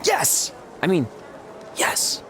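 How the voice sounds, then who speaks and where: A man's voice: drawn-out words, a bit bright, a little hiss or hum. An actor playing a cocky young male wrestling champion in a video game, flippant and sarcastic. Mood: cocky, casual. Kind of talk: video game cutscene acting.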